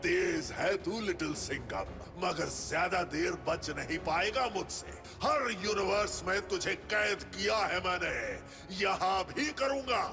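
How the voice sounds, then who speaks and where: A man playing a boastful, menacing multiverse warrior villain in a cartoon, with a gravelly voice and taunting, theatrical delivery. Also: high voice, lively intonation, over music. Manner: taunting, theatrical, drawn-out delivery with evil laughing.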